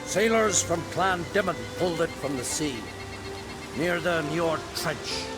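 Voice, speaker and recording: A stern, proud scholar who recounts a legend with grave, unhurried weight. His voice sits high and moves a lot, rising and falling as he goes. Game music plays clearly behind him. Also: lively intonation. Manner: measured, grave storytelling. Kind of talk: game cutscene dialogue. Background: game score music.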